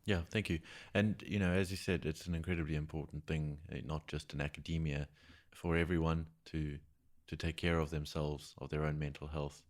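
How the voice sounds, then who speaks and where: A man co-hosts a science podcast with a very low, deep voice. He talks earnestly and a little haltingly, often repeating a word before carrying on. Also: steady tone. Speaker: podcast co-host. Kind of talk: casual podcast chat.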